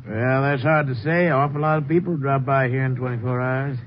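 A man's voice: medium pitch, a little fast, slight background noise, close to an everyday voice. A man playing a friendly, obliging country café owner with a folksy drawl, in 1950s radio drama style. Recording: dull old radio broadcast with music.

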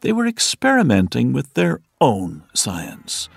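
A man reading scripted documentary narration as a podcast narrator, with a husky, creaky voice. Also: medium pitch, huge pitch swings, a little slow, dry recording. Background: faint music.

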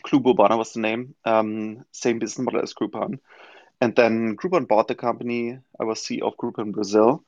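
Gravelly German-accented man, dry sound. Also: on the low side, steady tone, darkish tone, no echo. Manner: thoughtful, many filler pauses. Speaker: guest, company founder. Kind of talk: casual interview answers. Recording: dull lo-fi audio-room stream.

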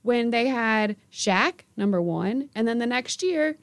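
A woman's voice: on the high side, animated, unhurried, dry recording. A woman talking sports casually and analytically as a team reporter on a podcast.

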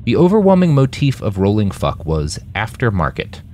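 A man's voice: fairly low pitch, wildly swooping pitch, normal speed, a little mellow, a little hiss or hum. A man narrating scripted fiction as an audiobook-style story. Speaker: author and narrator.

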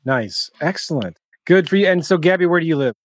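A man's voice: on the high side, expressive, a little fast, no echo. A man interviewing people over a video call, giving upbeat, encouraging replies.

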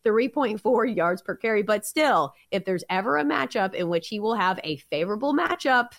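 A woman with a Southern accent co-hosts a casual sports betting show. She reasons through her picks steadily, leaning on small filler sounds as she goes. Her voice sits at an ordinary middle pitch. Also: normal speed, vocal fry, dry recording. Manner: analytical, steady, frequent "uh" fillers. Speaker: sports betting podcast host.

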